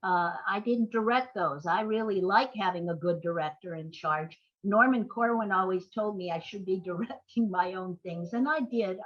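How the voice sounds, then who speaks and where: An older woman reminiscing in a conversational interview, wordy and halting as she corrects herself. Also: mid-range voice. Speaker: interview guest, playwright and screenwriter. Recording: dull, lo-fi audio.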